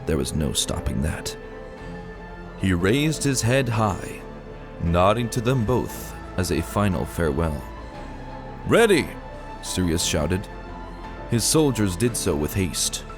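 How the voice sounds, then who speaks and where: A man with a deep voice narrates dark fantasy in a dramatic, scripted style. Soft music runs behind him. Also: lively intonation, slow pace.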